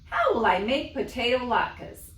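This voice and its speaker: A woman voicing an excitable, exasperated village wife who wails and exclaims in a children's storybook read-aloud. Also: a little high, measured pace, voice pushed up, full character voice. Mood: distressed. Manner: wailing, exclamatory. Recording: echoey room, distant mic.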